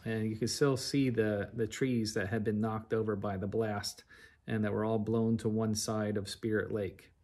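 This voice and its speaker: A man's voice: fairly low pitch, flat delivery, moderate pace, darkish tone. A middle-aged man narrating a travel slide show in a conversational, orderly and detailed way. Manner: recounts in an orderly, chronological, detailed way.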